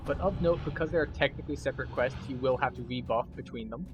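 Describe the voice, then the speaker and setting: Low-voiced man guiding through a game. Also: fast talker, highs cut off, music in the background. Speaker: gameplay guide and streamer. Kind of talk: casual video game walkthrough commentary.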